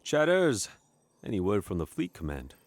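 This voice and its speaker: An actor playing a formal, commanding, self-important starship captain in a sci-fi comedy audio drama. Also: male voice, low-pitched, huge pitch swings, normal speed, no room sound. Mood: brisk command.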